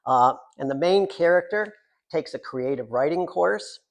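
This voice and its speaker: Gravelly older American man, wryly self-deprecating. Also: fairly high pitch, lively intonation, unhurried. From Central New York, United States. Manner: wry, self-deprecating humour. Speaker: poet reading from own book. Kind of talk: informal author talk at a poetry reading.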